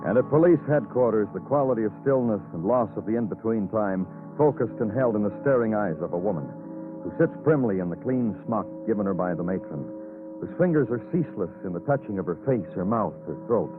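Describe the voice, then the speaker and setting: An actor playing a world-weary police lieutenant, narrating an old radio noir drama with solemn, deliberate phrasing. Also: male voice, fairly low pitch, animated, natural voice. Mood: brooding, poetic. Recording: tinny old radio recording, music behind.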